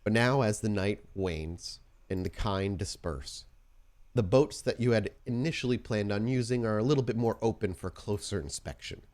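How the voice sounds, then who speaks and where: Male game storyteller, lowish voice, informal podcast hosting that moves into deliberate narrative scene-setting. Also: a little mellow.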